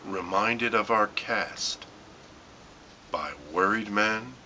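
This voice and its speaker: A man reading his own poetry as dramatic spoken word, in deliberate short phrases with pauses and emphatic stresses. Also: on the low side, bright, forceful tone, a little hiss or hum. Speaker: poet reading own work.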